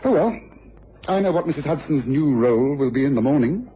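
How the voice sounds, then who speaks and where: A man's voice: fairly high pitch, lively intonation, a little fast, slight background noise, lightly acted. Man acting a commanding detective, dull old radio sound. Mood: smug, explanatory. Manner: articulate, authoritative, self-assured. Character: shrewd, commanding, confident English gentleman detective.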